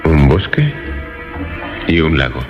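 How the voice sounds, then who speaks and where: Low-pitched male flat-hunter for radio suspense: curious, cautious, animated, slightly dull sound. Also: slow pace, heavily acted. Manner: inquisitive. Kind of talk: Spanish-language suspense radio drama acting. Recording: band-limited radio sound, faint music.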